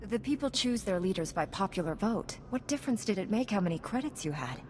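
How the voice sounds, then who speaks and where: A woman's voice: medium pitch, animated, brisk, a little mellow, close to an everyday voice. An actress playing a composed, principled female knight in a science fiction video game, earnest, formal and firm. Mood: stern. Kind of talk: dramatic video game acting. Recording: game audio with faint noise.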